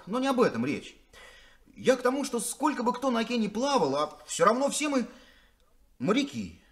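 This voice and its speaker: An actor playing an earnest sailor making an impassioned speech at a crew meeting in a 1970s radio play. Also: male voice, high-pitched. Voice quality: impassioned, emphatic.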